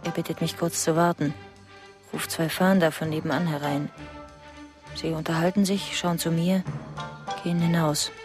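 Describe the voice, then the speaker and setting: An actress playing an anxious, contemptuous wife in a terse inner monologue for a radio play. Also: female voice, low voice, fairly even intonation, moderate pace, pauses often, over music, lightly acted.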